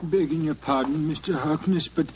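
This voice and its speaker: A man's voice: fairly high pitch, normal speed, natural voice. A man playing a polite, deferential club servant in a 1940s radio drama, formal and obliging. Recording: dull, noisy 1940s radio recording.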